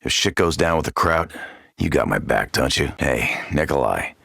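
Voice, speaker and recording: Game casting: brash, wisecracking American macho grunt, forceful gravel voice, dry close sound. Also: male voice, a bass voice, expressive, moderate pace, dry recording, full character voice. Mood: wary, confiding. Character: gruff, cocky macho soldier fighting zombies. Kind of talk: video game character voice lines.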